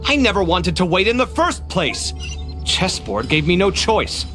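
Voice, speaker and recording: Excitable, wildly animated young man. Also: high-pitched, unhurried, faint music behind, heavily acted. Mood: defensive. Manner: animated, expressive, impulsive. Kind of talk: video game voice acting.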